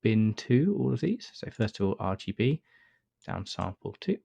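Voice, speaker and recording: A man with a cold and a stuffy nose, calmly talking through a software tutorial step by step with frequent uh fillers. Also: lowish voice, normal speed, gravelly, lo-fi sound. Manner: relaxed step-by-step explaining with many uh fillers. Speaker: astrophotography tutor. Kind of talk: screen-recorded software tutorial.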